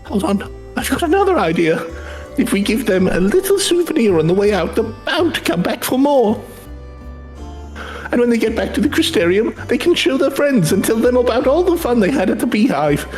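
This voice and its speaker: A man's voice: very high-pitched, sing-song, moderate pace, soft background music, rough recording. A man playing an eager, cheeky young male hired helper in a video game, chatty and colloquial, with a British accent. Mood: excited, scheming.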